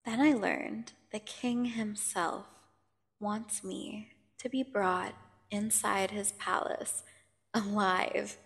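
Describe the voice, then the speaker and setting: A woman playing a menacing, seductive vampire prisoner in an ASMR audio drama, taunting and condescending with theatrical pauses. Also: medium pitch, fairly even intonation, fairly bright tone. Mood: gloating menace. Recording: long cavernous reverb.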